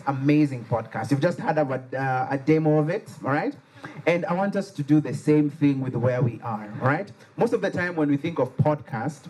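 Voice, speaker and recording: A man with a Liberian accent leading a lively workshop, joking and getting the audience to repeat key words after him. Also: a little high, slight background noise. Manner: animated, playful, has the audience repeat words. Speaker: workshop facilitator. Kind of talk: interactive call-and-response workshop. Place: workshop room with a live audience.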